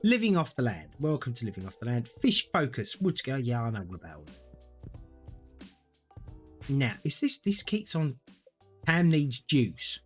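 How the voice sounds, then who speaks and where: A man's voice: medium pitch, sing-song, unhurried, pauses often, breathy edge, faint music behind. A man, chatting casually and thinking aloud as he streams a game to viewers. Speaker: gaming streamer. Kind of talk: casual live-stream gameplay commentary.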